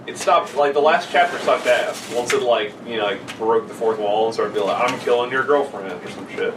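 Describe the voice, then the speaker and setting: A man's voice: mid-range voice, fairly quick. A man co-hosting a podcast with brash, dismissive interjections and casual, sweary banter. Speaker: podcast co-host. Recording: echoey noisy room, distant mic.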